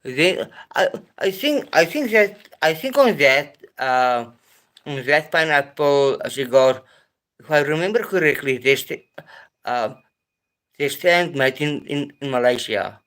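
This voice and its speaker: A South African man hosting a casual live stream, talking in a hesitant, halting way with many pauses and restarts. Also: a little high, lively intonation, a bit bright.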